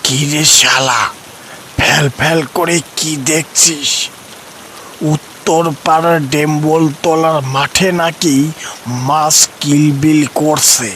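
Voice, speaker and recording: A man's voice: on the high side, fairly even intonation, slow, a bit bright, slight background noise. A man voicing a teasing old village grandpa who coaxes in slangy rustic talk, in a horror storybook cartoon. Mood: coaxing.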